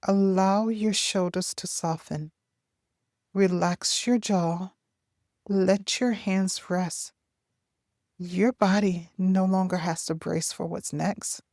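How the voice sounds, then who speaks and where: Woman doing a calm guided meditation, really slow, soft mellow voice, lots of pauses. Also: lowish voice, no echo, clean studio sound. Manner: gentle, unhurried, deliberate phrasing with long pauses.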